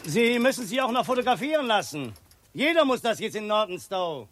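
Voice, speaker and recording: A man's voice: sits high, big pitch swings, brisk, fairly bright tone, a little hiss or hum. A man playing a curt, bossy, impatient checkpoint guard in a 1960s German radio play. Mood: officious, impatient.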